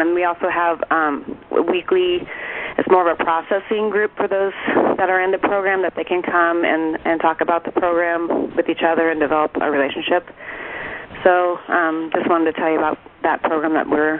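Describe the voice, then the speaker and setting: A man giving an informal update as a program representative on a conference call, speaking in a hesitant, rambling way over the telephone. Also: fairly high pitch. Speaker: regional mental health program representative. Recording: tinny phone line with faint noise.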